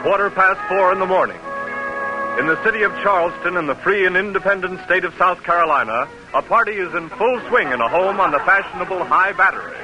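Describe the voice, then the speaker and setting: A man announcing and narrating in a formal, measured 1940s radio style. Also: high-pitched, rough recording. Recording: old radio broadcast with music.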